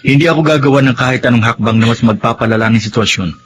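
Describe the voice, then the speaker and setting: A man's voice: mid-range voice, racing, close to an everyday voice. Man playing a reassuring, level-headed big brother. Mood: calm, reassuring. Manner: reassuring, earnest. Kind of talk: Filipino audio melodrama acting. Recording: faint background noise.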